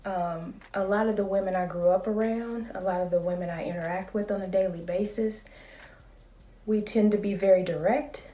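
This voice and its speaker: A woman in her late thirties, a school psychologist, talking in a soft, calm and measured way in a casual vlog. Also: medium pitch, echoey room, recorded from a distance. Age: 30s.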